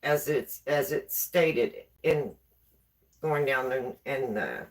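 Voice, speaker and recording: A woman's voice: rumbling low, slow pace, low-quality recording. A woman with a West Virginia accent hosting a casual baking live stream from her home kitchen, chatting and repeating herself as she reads viewer comments. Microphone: camera on a tripod.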